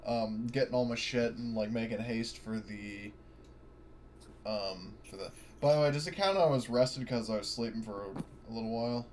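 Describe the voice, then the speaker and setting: A man's voice: lowish voice, normal speed, darkish tone, slight background noise. A man playing a tabletop game over a voice call, rambling with many fillers and bursts of laughter. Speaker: player.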